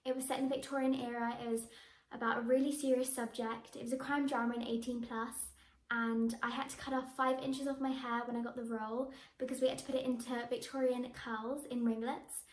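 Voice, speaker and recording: Bubbly, high-voiced teen actress. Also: female voice, level tone, fairly quick. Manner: bubbly, enthusiastic, with frequent laughs. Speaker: young actress. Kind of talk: answering interview questions to camera. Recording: echoey room.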